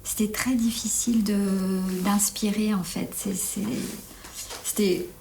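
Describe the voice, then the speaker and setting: A woman sharing her feelings in a group circle, soft, hesitant and anxious. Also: mid-range voice, fairly even intonation, takes it slowly, slight background noise. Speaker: retreat participant.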